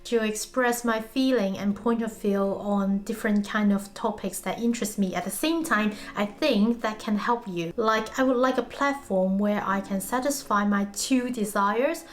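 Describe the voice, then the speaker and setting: A woman's voice: a little high, normal speed, hardly any pauses. A woman whose first language is Cantonese, earnestly chatting through a vlog about her own learning. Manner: earnest, chatty, self-referencing. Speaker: vlogger. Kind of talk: casual explanatory vlog.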